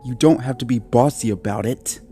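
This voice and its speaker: A man's voice: high-pitched, takes it slowly, faint music behind, pitched above the performer's own voice. A man voicing an anxious, stammering male Great Dane in a storybook reading. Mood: flustered. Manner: nervous, stammering, flustered. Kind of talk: storybook character voice.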